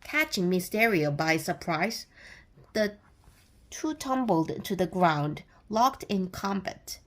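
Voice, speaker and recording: Slow, deliberate woman reading a storybook. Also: lowish voice, very animated. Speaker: storybook reader. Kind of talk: scripted children's read-aloud narration.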